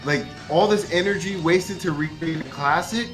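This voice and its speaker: A man's voice: a little high, normal speed, music in the background. A man on a casual gaming podcast panel, arguing bluntly and repeating himself, with some swearing. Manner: opinionated, blunt, repetitive, swearing.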